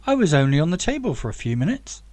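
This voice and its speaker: A man's voice: fairly high pitch, very animated, quick pace, darkish tone. A man voicing an eager, curious young male hero in an audiobook, asking questions and exclaiming keenly. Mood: clueless disbelief. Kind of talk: audiobook character dialogue.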